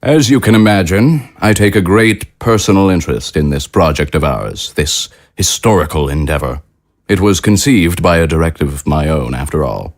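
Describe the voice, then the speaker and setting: An actor playing a gracious, self-important head of state in an audio drama, with formal, courtly speech. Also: male voice, low voice, normal speed. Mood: grandly reassuring.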